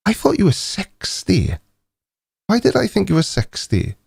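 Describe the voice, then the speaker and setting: A man's voice: high voice, huge pitch swings, measured pace, creaky, a little mellow, husky, dry recording. A man in his forties with a Welsh accent, hosting a casual live stream chat in a dry, teasing, mock-indignant manner. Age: 40s.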